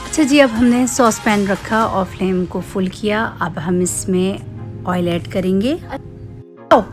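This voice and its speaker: A woman narrating cooking steps in an unhurried, explanatory way. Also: mid-range voice, faint music behind. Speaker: cooking vlogger. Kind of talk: cooking voice-over.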